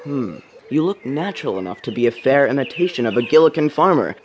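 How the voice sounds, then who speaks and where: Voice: mid-range voice, big pitch swings, fast, darkish tone, slight background noise, lightly acted. An actor playing a mischievous young boy in a radio drama, eager and cheeky. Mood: proud, playful. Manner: eager, boyish, theatrical. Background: music.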